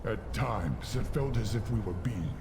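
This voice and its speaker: A man playing a weary, solemn orc warrior chieftain in a fantasy game, speaking in a measured way. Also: fairly low pitch, animated, noisy background. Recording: game music behind.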